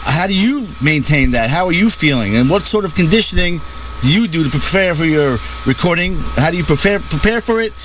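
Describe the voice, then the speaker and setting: A man's voice: on the high side, lively intonation, a little fast, fairly bright tone, low-quality recording. A man hosting a radio show, interviewing a singer with fan-like enthusiasm. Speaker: radio host. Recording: clear background noise.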